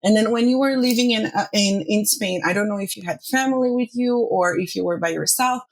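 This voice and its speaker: A woman's voice: mid-range voice, brisk, rough recording. A woman hosting a podcast interview, asking friendly, long, winding questions.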